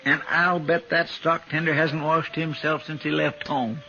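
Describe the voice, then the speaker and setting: Folksy, creaky-voiced western sidekick. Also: male voice, fairly high pitch, flat delivery, a little slow, sharp tone. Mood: grumbling. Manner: folksy, whiny, talkative. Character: fussy, complaining, loyal Old West marshal's sidekick. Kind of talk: 1950s radio western acting. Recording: dull vintage radio recording, faint noise.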